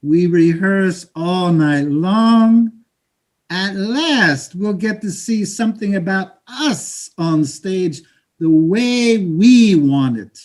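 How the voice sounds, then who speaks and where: A man plays a middle-aged immigrant father in a staged play reading. He is proud and scheming, speaks slowly, and presses his case with emphatic pleading. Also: high-pitched, animated, a little mellow. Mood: proud, hopeful.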